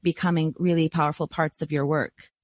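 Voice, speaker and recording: Low-voiced woman hosting, close and dry. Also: little pitch movement, a little fast, darkish tone, no echo. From United States and Syria. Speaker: podcast host. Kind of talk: conversational podcast interview.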